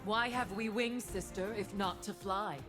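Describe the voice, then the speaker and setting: A woman's voice: medium pitch, a little slow, natural voice. A woman playing a proud, righteous winged warrior, cold and judgmental, in solemn declamatory video game lines. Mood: haughty. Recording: music behind the voice.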